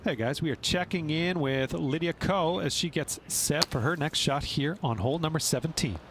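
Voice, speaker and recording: A man's voice: on the high side, normal speed, background noise, lightly acted. A man playing a friendly on-course reporter in a video game, giving a sports broadcast update.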